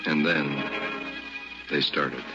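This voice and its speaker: An American actor playing a man grimly recounting his past as first-person radio drama narrator, measured and grave. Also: a bass voice, fairly even intonation, exaggerated voice. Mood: somber. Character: grown man grimly recounting boyhood ordeal on Mars. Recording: dull radio recording, loud music behind.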